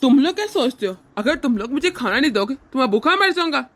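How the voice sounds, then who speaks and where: A woman's voice: high voice, lively intonation, quick pace, slight background noise. A woman voicing a harsh, domineering older man in a cartoon family drama, scornful and threatening. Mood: contemptuous. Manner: scornful, threatening, barking orders.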